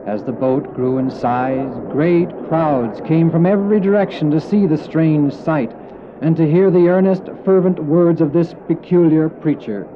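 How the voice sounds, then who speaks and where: A man giving formal, measured scripted narration for a dramatized Bible story. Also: a little high, noisy background. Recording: muffled old cassette, music behind.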